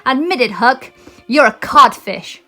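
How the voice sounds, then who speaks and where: A woman's voice: sits high, animated, measured pace, pushed and bright, soft background music, pitched above the performer's own voice, exaggerated voice. A woman voicing a bold, confident boy hero in a children's storybook. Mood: defiant. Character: bold, cocky boy hero who never grows up.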